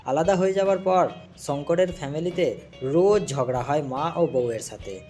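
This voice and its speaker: Guy reading a cartoon village tale, voice a bit high, music behind. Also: normal speed, warm tone. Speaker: narrator. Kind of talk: scripted story narration for a cartoon.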